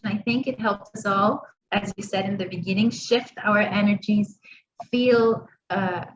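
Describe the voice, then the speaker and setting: Grateful woman host with guitar music. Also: medium pitch. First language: Spanish. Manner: warm, grateful, repeats words. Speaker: event organizer. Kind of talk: closing thanks. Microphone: video call mic. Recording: muffled with guitar music behind.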